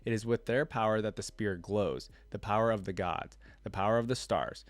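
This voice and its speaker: A man's voice: on the low side, moderate pace, dry recording. A man hosting a reaction video, reading a story aloud and adding casual, musing asides.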